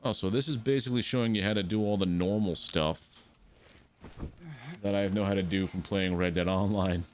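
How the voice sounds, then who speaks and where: A man's voice: deep voice, steady tone, brisk, a little mellow. A man giving casual game commentary, playfully muttering asides to himself as he streams. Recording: faint background game noise.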